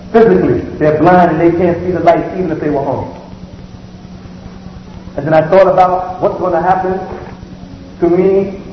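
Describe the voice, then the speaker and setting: Male imam, sermon delivery, steady and repetitive, recorded from a big reverberant civic hall with a dull sound. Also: high voice. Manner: measured preaching with repeated phrases. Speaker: imam giving the Eid sermon. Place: large civic center hall. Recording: echoey hall, muffled, faint hiss.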